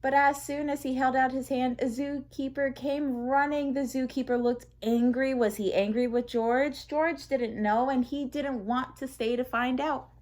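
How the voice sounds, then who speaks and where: Higher-voiced woman reading a children's story. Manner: expressive, deliberate storytelling for young children. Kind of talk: read-aloud children's picture book narration.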